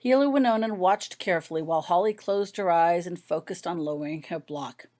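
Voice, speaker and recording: A woman's voice: lowish voice, fairly quick, warm tone. A woman reading her own story aloud as scripted audiobook narration.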